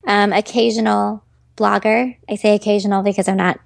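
A chatty woman from Chicago answers interview questions with an easy, friendly flow, filling her talk with "like" and "you know" and breaking into laughs. Her voice carries a gravelly fry, and the recording sounds dry and close. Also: mid-range voice, flat delivery, fairly quick, no room sound. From Chicago, US Midwest. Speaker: guest, actress.

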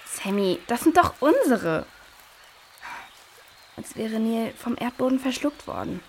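A woman's voice: on the high side, sing-song, measured pace, a little hiss or hum. A woman playing a practical, helpful girl searcher in a children's audio drama, inquisitive and matter-of-fact. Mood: matter-of-fact, puzzled.

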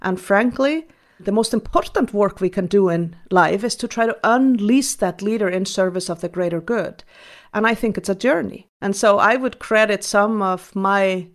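A woman talks through her answers in a steady, mid-pitched voice. She is earnest and measured, pausing on ums and starting over now and then. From Iceland. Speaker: guest. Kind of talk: reflective interview answers.

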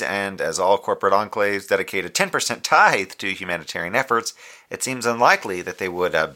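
Deep bright-voiced male moonbase officer, formal, dutiful, measured, precise, sci-fi audio drama. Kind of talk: audio drama scripted log transmission.

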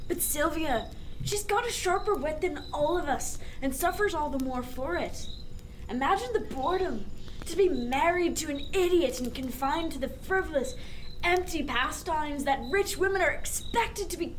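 A woman's voice: sits high, lively intonation, moderate pace, a little hiss or hum, low-quality recording. A woman playing a roguish, unrepentant male sailor, eloquent and sly, in a period seafaring audio drama. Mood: thoughtful. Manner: eloquent, sly, old-fashioned wording.

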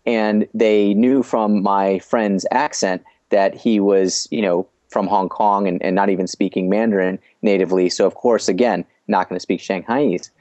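A man speaking as an interview guest, explaining business lessons in a measured way, often restarting his phrases. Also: lowish voice, fairly even intonation, darkish tone, no room sound, lo-fi sound. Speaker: guest sharing business experience. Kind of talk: podcast interview storytelling.

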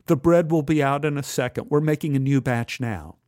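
A man's voice: fairly high pitch, fairly quick, a little mellow, no echo, studio quality, lightly acted. A man voicing an easygoing, unimpressed American waitress in a story, speaking casually and matter-of-factly. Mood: unfazed, friendly.

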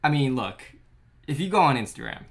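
A man's voice: medium pitch, big pitch swings, fairly quick. A man in his early twenties co-hosting a casual, conversational discussion. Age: early 20s. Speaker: co-host. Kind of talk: casual film discussion chat.

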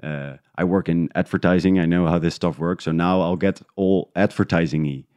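A man talking casually and reflectively as an interview guest, feeling his way through thoughts with halting restarts. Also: low voice, steady tone, darkish tone, clean tone, no room sound. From Netherlands. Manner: halting, thinking aloud in restarts. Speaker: interview guest, independent podcast creator.

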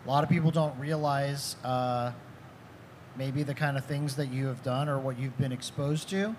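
A man's voice: mid-range voice, steady tone, normal speed, background noise. A man hosting a live event panel, introducing and prompting a guest in a friendly way. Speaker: host.

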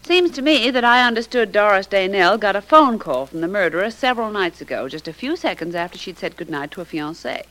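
An actress as a pert, flirty companion. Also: female voice, medium pitch, expressive, brisk, few pauses, a bit bright, lightly acted, rough recording. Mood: flirty, curious.

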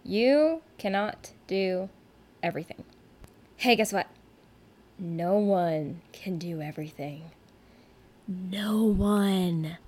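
A woman's voice: on the low side, slow pace, pauses often, darkish tone. A woman hosting her own solo podcast from her couch at home, talking in a chatty, rambling, candid way and often poking fun at herself. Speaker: podcast host and rock musician. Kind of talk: casual solo podcast monologue.

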